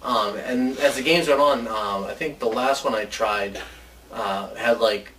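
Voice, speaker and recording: A man with a medium-pitched voice chats informally and rambles through his ideas as a show co-host. He sounds a little away from the mic, with faint noise in the background. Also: a little slow, fairly bright tone, far from the mic, poor audio. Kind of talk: casual chat pitching ideas.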